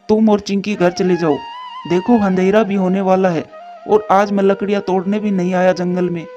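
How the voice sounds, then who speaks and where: A man's voice: sits high, darkish tone, natural voice. A man voicing a caring, anxious father pigeon devoted to his child in a moral-story cartoon, on a muffled track. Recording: dull muffled studio track, music behind.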